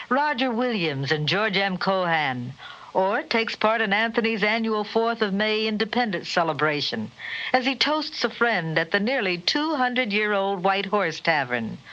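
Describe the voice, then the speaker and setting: A woman reading a scripted promotional narration in a vintage radio spot. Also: mid-range voice. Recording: dull vintage radio, loud music behind.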